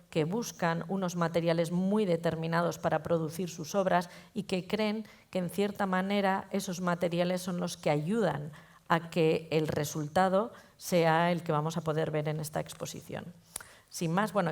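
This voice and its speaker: A woman's voice: lowish voice, vocal fry, a little mellow. A woman museum curator introducing an exhibition talk, explaining in an informal lecturing way. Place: museum auditorium.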